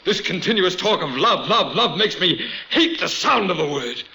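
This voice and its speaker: A cold aristocratic officer in a vintage radio romance. His voice is fairly high and gravelly, with a forceful edge. He declaims impatiently and theatrically, in widely swinging tones. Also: animated, normal speed, full character voice, poor audio. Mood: exasperated. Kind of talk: old-time radio drama acting.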